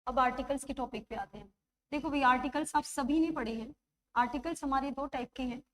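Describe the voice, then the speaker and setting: A woman teacher with a high voice leads an online grammar class, her throat sore. She keeps coaxing the class with quick check-ins and slows right down to spell out examples. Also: a bit bright. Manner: coaxing, checks understanding often; writes examples while speaking, slows to dictate them.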